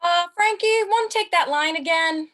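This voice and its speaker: A woman's voice: very high-pitched, moderate pace, a bit bright. A woman playing a fussy, earnest co-announcer in 1950s radio ad style. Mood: fussy, correcting. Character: fussy critic co-announcer pitching cereal earnestly.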